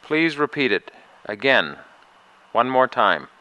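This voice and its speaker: Bright, clear man announcing lesson prompts. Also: medium pitch, very animated, slow, muffled recording. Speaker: lesson narrator. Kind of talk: scripted narration of lesson prompts.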